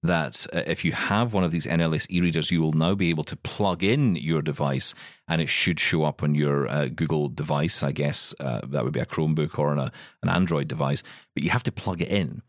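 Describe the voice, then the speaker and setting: A man's voice: rumbling low, a little fast, dry recording, clean studio sound. A man co-hosting a podcast, chatting casually about tech news and rambling with restarts. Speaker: podcast co-host.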